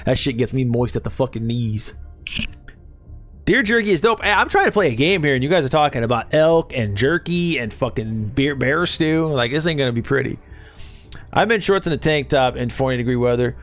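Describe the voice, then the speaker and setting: A man's voice: medium pitch, expressive, a little fast, fairly bright tone, faint music behind. A man from Arkansas in his thirties with a light Southern accent, streaming a game from a home gaming room and chatting loosely with viewers, swearing often. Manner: loose, profane, laid-back banter. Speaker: Twitch streamer. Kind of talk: casual live-stream chat with chat.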